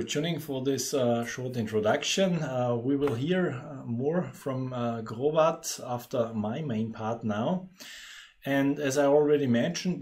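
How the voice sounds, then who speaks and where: A man's voice: medium pitch, darkish tone. Austrian-accented man presenting a webinar. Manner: explanatory, many fillers and restarts.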